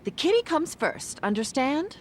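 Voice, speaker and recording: A woman playing a kindly but firm older cleaning lady in a video game, genteel and gently fussy. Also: high-pitched, very animated, normal speed, a little hiss or hum. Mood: friendly, firm. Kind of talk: video game cutscene acting.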